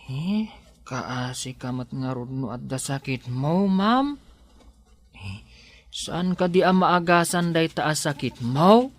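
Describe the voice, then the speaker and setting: A woman's voice: deep voice, lively intonation, slow, no echo, heavily acted. A woman voicing a simple, kindly, hesitant farmhand man with an Ilocano accent in a radio drama. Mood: concerned. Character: simple, diligent, kindly rural farmhand man, eager, easily flustered.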